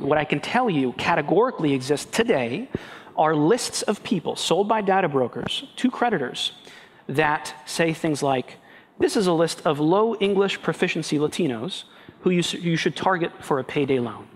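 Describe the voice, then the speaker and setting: A man in his thirties from Peru, speaking emphatically as a panelist on a conference stage through a headset mic. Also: on the high side. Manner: earnest, emphatic with restarts. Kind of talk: panel discussion. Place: large banquet hall on stage. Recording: long hall reverb, faint noise.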